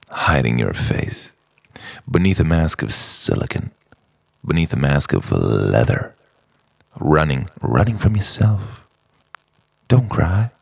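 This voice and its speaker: A man delivers a spoken-word monologue slowly and theatrically, as if thinking aloud. His voice is lowish with a gravelly fry and swings widely in pitch. The manner is brooding and eccentric. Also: no room sound. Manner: dramatic, brooding, swinging between murmurs and eccentric outbursts. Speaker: writer-performer of a personal monologue.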